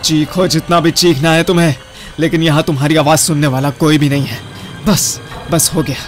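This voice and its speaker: A man's voice: on the high side, fairly even intonation, moderate pace, soft background music, close to an everyday voice. A man playing a gloating, sadistic cannibal killer in a horror-comedy audio drama, with a menacing, taunting manner. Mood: savouring. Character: gloating sadistic male cannibal killer savouring his hunt.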